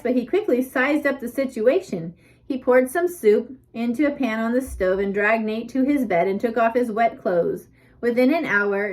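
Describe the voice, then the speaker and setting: Higher-voiced woman reading a storybook aloud. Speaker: audiobook reader. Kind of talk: scripted storybook narration.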